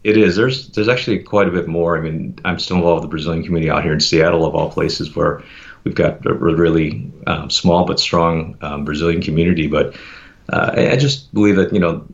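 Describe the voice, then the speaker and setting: A man telling his story as a podcast guest in a relaxed, reflective way, pausing often with fillers. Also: low-pitched, creaky, highs cut off. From United States. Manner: reflective, meandering with many fillers. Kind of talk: casual conversational interview.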